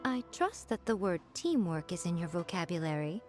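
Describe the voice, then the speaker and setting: A woman's voice: lowish voice, sing-song, normal speed, soft background music. A woman voicing a sly, self-assured fox-spirit shrine maiden in an anime game, poised, teasing and commanding. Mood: smug, commanding.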